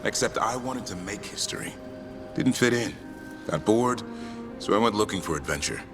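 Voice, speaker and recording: An actor playing a proud, adventurous elf monster hunter in a video game, talking in short, clipped sentences. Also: male voice, on the low side, expressive, normal speed, music in the background, lightly acted. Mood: reflective. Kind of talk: video game dialogue acting.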